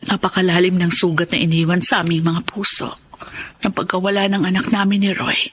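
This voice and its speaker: An actress playing a sorrowful young married daughter who mourns her child, speaking haltingly and tenderly in a Filipino radio drama. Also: lowish voice, level tone, fairly bright tone, a little hiss or hum, lightly acted. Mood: grieving.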